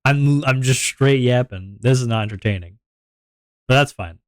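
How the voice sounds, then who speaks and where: A man with a deep voice, streaming and chatting casually to his viewers in a rambling, self-reflective way, often repeating his words. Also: normal speed, no echo, clean studio sound.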